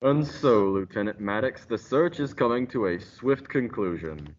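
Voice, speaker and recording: An actor playing a smug, theatrical announcer who narrates a radio murder mystery with deliberate drama. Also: male voice, on the low side, lively intonation, over music, close to an everyday voice. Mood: ominous, suspenseful. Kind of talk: old-time radio detective drama acting. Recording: dull lo-fi audio.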